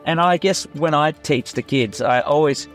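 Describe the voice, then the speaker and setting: A man's voice: on the high side, moderate pace, faint music behind. A man answering interview questions in a chatty, self-deprecating way, with fillers. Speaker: tribute performer and former music teacher.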